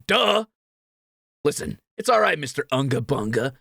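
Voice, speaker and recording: Man playing a cocky, animated thief, bright and creaky. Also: mid-range voice, moderate pace, no echo, studio quality, full character voice. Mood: smug, sarcastic. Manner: sarcastic, animated, swaggering banter. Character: cocky, greedy, teasing male thief adventurer. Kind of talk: fantasy audio roleplay acting.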